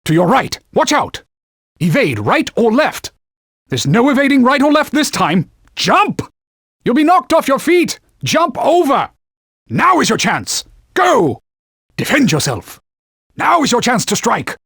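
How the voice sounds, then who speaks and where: Bossy talking sword from a video game, pompous knightly scold, kinda husky, pitch swoops all over. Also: male voice, sing-song, a little slow, fairly bright tone, dry recording, clean studio sound, full character voice. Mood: urgent. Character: haughty talking sword, stern male knightly mentor. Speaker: video game companion guide. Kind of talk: video game voice acting, short combat callouts.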